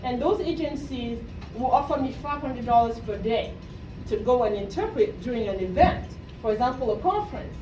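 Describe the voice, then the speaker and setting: A woman's voice: fairly high pitch, lively intonation, normal speed. A woman from Cameroon giving a motivational talk to students as a coach, checking in with questions as she goes. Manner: asks the audience questions, often adds "right?". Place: room at a university talk. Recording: roomy, distant mic, faint noise.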